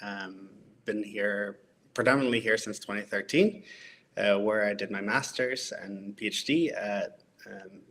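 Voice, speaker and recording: A man's voice: fairly low pitch, measured pace, fairly bright tone. Hesitant male guest. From Norway. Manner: hesitant, stammering repeats. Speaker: guest author. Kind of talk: interview answers.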